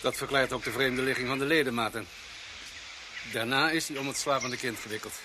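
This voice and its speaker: An actor playing an unhurried, dry doctor in a radio drama, speaking curtly and calmly. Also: male voice, mid-range voice, close to an everyday voice. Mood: clinical, grave. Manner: matter-of-fact, curt, unflappable. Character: unhurried, dry male doctor and former forensic pathologist. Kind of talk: Dutch radio drama acting. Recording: clearly noisy radio play soundtrack.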